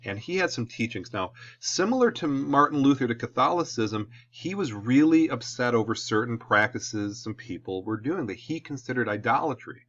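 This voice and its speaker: A man with a Midwestern American accent, lecturing on history as a teacher in a relaxed classroom-video way, often checking in with "okay?". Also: medium pitch, normal speed, few pauses, highs cut off.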